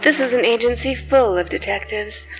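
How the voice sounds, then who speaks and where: A woman's voice: on the high side, moderate pace, vocal fry, poor audio. Woman playing a coy noir secretary over music. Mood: coy, teasing. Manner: coy, flirtatious, sly. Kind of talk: noir radio drama acting.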